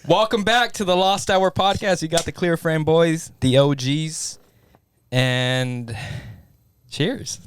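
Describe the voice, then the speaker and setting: A man's voice: fairly high pitch, slow pace, smooth. A man hosting a casual podcast with friends, laughing and swearing, getting emotional. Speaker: podcast host. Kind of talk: casual chat among friends.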